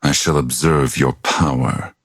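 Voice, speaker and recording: A menacing video game villain. The voice is bottomless and husky, and each phrase comes slowly and deliberately. The manner is cold and ominous. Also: male voice, extremely low pitch, fairly even intonation, exaggerated voice. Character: cold, menacing male villain, grave and superior.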